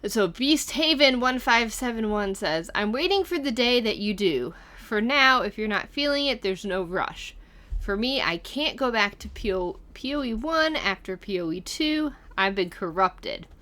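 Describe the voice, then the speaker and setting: A woman's voice: on the high side, lively intonation, a little slow, sharp tone. A middle-aged woman hosting casually, reading comments aloud and musing over them in a conversational way. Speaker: guest host of a gaming channel reading viewer comments.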